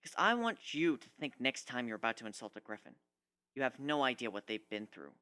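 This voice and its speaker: A man's voice: mid-range voice, very animated, a little fast, bright, forceful tone, smooth. A man voicing a proud, embittered young griffin warrior in a story reading, blunt and emphatic. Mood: indignant.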